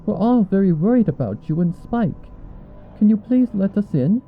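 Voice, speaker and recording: A man's voice: sits high, expressive, normal speed, soft background music, pitched above the performer's own voice. Man voicing an anxious genteel lady pony, thin phone-like sound. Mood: anxious, worried. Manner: polite, refined phrasing. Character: anxious, genteel female pony, concerned friend.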